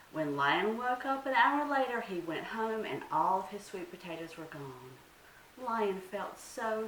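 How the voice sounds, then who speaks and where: Woman, children's storyteller, lower-pitched, very animated and dramatic, distant mic. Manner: dramatic, asks listeners questions. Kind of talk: expressive storytime narration for young children. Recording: roomy, distant mic, faint hiss.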